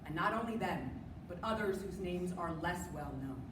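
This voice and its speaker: A woman's voice: on the low side, lively intonation, moderate pace. A woman performing a rehearsed dramatic group reading as a speech competitor, in an emphatic, declamatory way. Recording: echoey room from far off, noisy.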